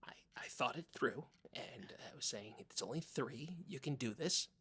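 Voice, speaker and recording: A man performs a naive small-town podcast co-host with a husky, slightly rough voice. He stumbles and hesitates through improvised comedy banter, sounding timid, earnest and easily offended. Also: medium pitch, expressive, moderate pace, a bit bright. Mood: earnest, hesitant.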